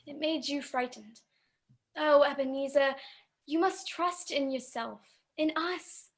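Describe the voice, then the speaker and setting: A woman in a radio play playing a poor young fiancée who speaks with sorrowful, earnest resolve. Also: high voice. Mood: reassuring, pleading. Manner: earnest, measured, plaintive.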